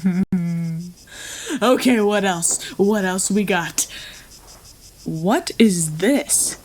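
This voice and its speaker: A woman's voice: mid-range voice, drawn-out words, darkish tone, slight background noise. A woman playing a starving, childlike rancher in a post-apocalyptic audio drama, exclamatory, wide-eyed and desperate. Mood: hungry, curious. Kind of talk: live-streamed audio drama acting.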